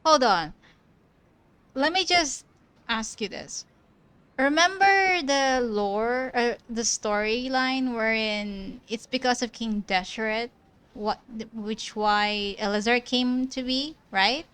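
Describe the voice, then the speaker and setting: Smooth-voiced woman musing over a game. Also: a little high, slow pace, a bit bright. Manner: chatty and musing, thinks aloud about the story. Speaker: game streamer. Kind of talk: casual commentary over gameplay.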